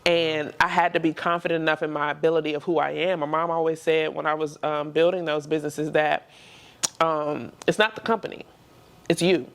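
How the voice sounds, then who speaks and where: A woman's voice: sits low, fairly even intonation, a little fast, smooth. A woman in her thirties, a podcast guest and entrepreneur, talking through her experiences in a reflective, conversational way. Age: 30s. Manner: reflective, conversational, frequent "you know what I'm saying". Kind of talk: casual interview conversation.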